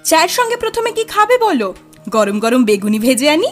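An actress playing a playful young newlywed wife in an audio drama, coquettish and mock-scolding. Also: female voice, high voice, sing-song, moderate pace, soft background music. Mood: playful, teasing.

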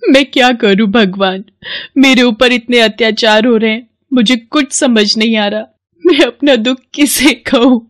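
A woman voicing a meek, sweet, long-suffering young daughter-in-law in an animated moral story, polite and plaintive in manner. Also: fairly high pitch, unhurried, no echo, studio quality, pitched above the performer's own voice, close to an everyday voice. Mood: distressed, plaintive.

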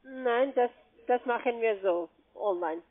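A woman with non-native German speaks as a call-centre agent. She pushes insistently through her pitch with broken grammar. The line is muffled and narrow, like a cheap phone connection. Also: a little slow. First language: not German. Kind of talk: cold-call sales pitch. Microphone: telephone.

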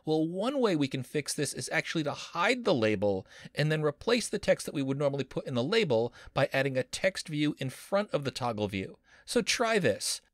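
A man's voice: a little high, big pitch swings, normal speed, few pauses, no echo, clean studio sound. A man teaching a screen-recorded coding lesson as a university instructor, upbeat and playful with jokey wordplay.

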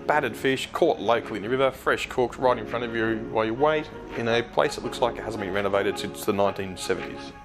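A man's voice: mid-range voice, fast talker, hardly any pauses. Chatty Australian man vlogging from his car. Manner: chatty, talks while driving, loose asides and fillers. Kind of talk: casual road trip vlog narration. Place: inside a moving car. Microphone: dash-mounted camera. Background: background music.

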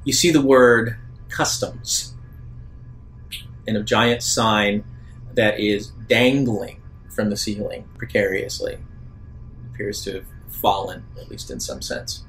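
A man working as a game master, improvising narration for a tabletop game in a halting, deliberate way. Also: animated, a bit bright, soft background music, poor audio. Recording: rumbly background noise.

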